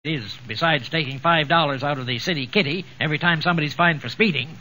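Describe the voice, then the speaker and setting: An actor playing a vain, blustering, middle-aged American husband in a 1940s radio comedy. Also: male voice, fairly high pitch, fast, pushed and bright. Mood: combative. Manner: boastful, flustered, combative. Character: blustering, vain small-town husband and prominent citizen. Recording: muffled, noisy 1940s radio recording.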